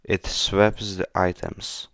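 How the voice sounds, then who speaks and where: A man from the Czech Republic with a Czech accent, chatting casually on a live coding stream in halting, hesitant non-fluent English. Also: low voice, flat delivery, gravelly, fairly bright tone, no echo, studio quality. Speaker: game developer live streamer. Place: indoors at a computer, keyboard clicks behind.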